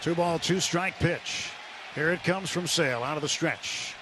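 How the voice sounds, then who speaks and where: Higher-voiced American baseball announcer, noisy ballpark. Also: male voice, measured pace. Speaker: baseball play-by-play radio announcer. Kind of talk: live sports commentary. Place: stadium broadcast booth. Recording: noisy ballpark radio broadcast.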